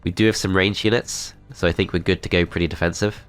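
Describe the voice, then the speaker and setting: A man with a cold and a blocked-up, nasal voice, calmly talking through his moves in a strategy game. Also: deep voice, quick pace, clear, resonant tone, faint music behind. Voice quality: nasal, congested. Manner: calm, methodical, thinking aloud. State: has a cold, blocked-up nose. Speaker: gameplay commentator. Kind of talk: strategy game let's play commentary.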